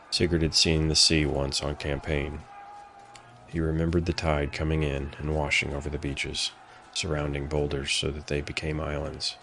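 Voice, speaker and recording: A man narrating a dramatic fantasy story from a script in a solemn, measured way. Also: a bass voice, vocal fry, fairly bright tone, a little hiss or hum.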